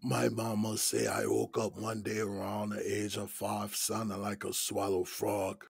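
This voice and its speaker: An actor playing an easygoing, folksy older male inmate in his sixties in an audio drama, with a deep, raspy smoker's voice and a Gullah Geechee accent. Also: no echo, clean studio sound, exaggerated voice. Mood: folksy, relaxed. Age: 60s. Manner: folksy, unhurried, colloquial drawl.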